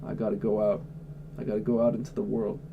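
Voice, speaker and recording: A man's voice: on the low side, monotone, fast, creaky, narrow, tinny audio, noisy background. A man livestreaming from home, answering chat questions in a blunt, offhand way and swearing freely. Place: at home indoors.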